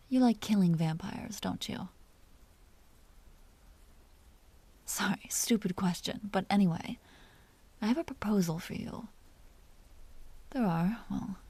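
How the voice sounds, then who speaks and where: A woman playing a commanding, teasing vampire duchess with a tender side, speaking softly and often in whispers in an intimate audio roleplay. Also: mid-range voice, measured pace, long pauses, no echo. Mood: possessive, playful. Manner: soft, breathy, often dropping into whispers; teasing, possessive, imperious.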